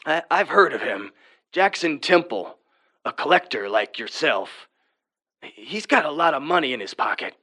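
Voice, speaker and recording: Friendly record dealer, kinda high voice, apologetic, casual, audio drama. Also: male voice, very animated, normal speed, sharp tone, exaggerated voice.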